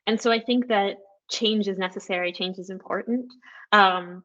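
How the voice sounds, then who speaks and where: A woman's voice: mid-range voice, normal speed. A woman candidate giving a closing statement over a video call, grateful and rambling with ums. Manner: warm thanks, rambling with ums. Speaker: rector candidate. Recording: dull lo-fi video-call audio.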